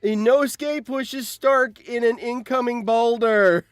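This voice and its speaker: A man live-streaming as host, reading out game results with animated, excitable commentary and surprised exclamations. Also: very high-pitched, slow, clear, resonant tone, no echo, studio quality.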